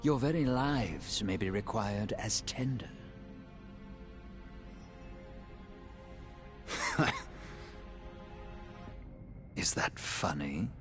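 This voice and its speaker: Suave, very slow-talking dark elf. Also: male voice, lowish voice, sing-song, music in the background. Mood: ominous, amused. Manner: theatrical, drawn-out, mock-courteous delivery. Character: suave, theatrical male dark elf, slyly amused. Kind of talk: scripted video game cutscene acting.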